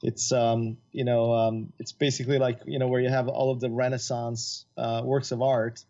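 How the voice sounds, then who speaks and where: Chatty, halting man, slightly dull lo-fi audio. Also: mid-range voice, little pitch movement, mellow. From Florence, Italy, and from his teens Florida. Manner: halting, chatty, peppered with "like" and "you know". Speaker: podcast guest, composer and filmmaker. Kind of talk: casual, anecdotal interview talk.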